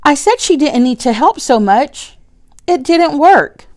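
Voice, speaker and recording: Independent young schoolgirl, played by a female storybook reader, higher voice, earnest and insistent, measured pace. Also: expressive. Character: independent, frank young schoolgirl who uses a wheelchair.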